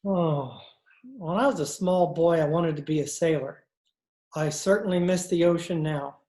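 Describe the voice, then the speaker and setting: An actor playing a cultured, nervous judge and pool hustler, speaking in a fussy, theatrical old-time radio style. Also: male voice, fairly high pitch, normal speed, mellow, close to an everyday voice. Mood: pleading, wistful. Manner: pompous, fussy, theatrical speech. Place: indoor room, recorded over video call. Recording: roomy, echoing video-call recording.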